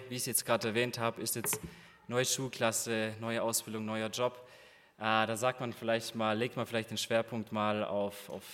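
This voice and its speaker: A man's voice: mid-range voice, fairly bright tone. Young male group leader, casual and chatty, hall reverb on the voice. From Germany. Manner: casual, chatty, many fillers and rhetorical questions. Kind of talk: interactive talk to a youth group.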